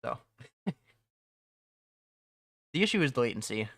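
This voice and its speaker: A man's voice: medium pitch, very animated, unhurried, a bit bright, dry recording, clean studio sound. A young man chatting casually on a live stream from home, rambling, laughing often and restarting his sentences. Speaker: streamer and mod developer.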